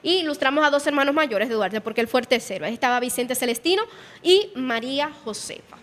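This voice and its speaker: A woman's voice: high-pitched, lively intonation, fast talker, fairly bright tone, long reverb tail. A woman guiding a museum tour, explaining history and quoting dialogue. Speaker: museum guide. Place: indoor exhibition hall.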